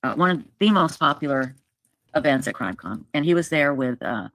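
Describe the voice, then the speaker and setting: A woman's voice: sits low, lively intonation, fairly quick, gravelly, a bit bright, no echo, low-quality recording. A woman guest on a livestream telling a story casually, with lots of fillers and restarts. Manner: rambling, filler-heavy, apologetic.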